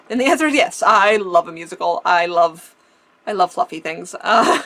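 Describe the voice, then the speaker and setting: A woman's voice: mid-range voice, a bit bright. A woman from Canada with a slight Canadian accent and a faint leftover lisp, chatting through a casual question-and-answer video with frequent laughs. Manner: chatty, laughs often, restarts words. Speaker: vintage-style vlogger. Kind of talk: casual Q&A chat.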